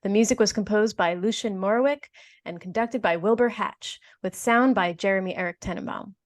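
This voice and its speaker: A woman's voice: medium pitch, normal speed. A woman delivering scripted announcements and credits in an old-time radio style.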